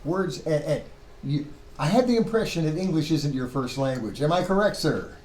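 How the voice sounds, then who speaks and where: A man's voice: on the high side, expressive, moderate pace, darkish tone. Male lecturer in a roomy, noisy classroom. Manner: conversational, rhetorical questions and self-corrections. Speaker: seminary Hebrew lecturer. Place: classroom with students. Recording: roomy echo, clear background noise.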